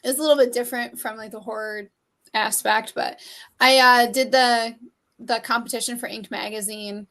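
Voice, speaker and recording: A woman's voice: a little high, steady tone, normal speed, bright, forceful tone. Chatty woman in her thirties. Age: 30s. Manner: laughing, swears freely, chatty. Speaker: guest, horror actress and model. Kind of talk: casual interview chat.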